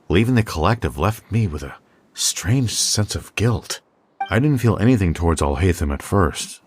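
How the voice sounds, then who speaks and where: An actor playing a guilt-ridden male scholar speaking in a rueful, confessional way. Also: low-pitched. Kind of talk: video game voice acting.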